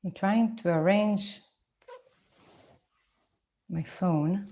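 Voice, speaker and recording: A woman in her forties from Israel with an Israeli accent, speaking slowly and carefully as she gives a live online talk to parents. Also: medium pitch, mellow. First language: Hebrew. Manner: slow, deliberate, and careful for her listeners. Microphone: phone.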